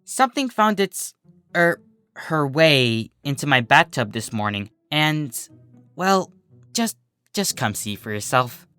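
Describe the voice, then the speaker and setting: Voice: medium pitch, sing-song, slow pace, sharp tone, smooth, soft background music, full character voice. A storyteller voicing an anxious, bossy young female unicorn with a fretful, insistent manner. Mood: hesitant.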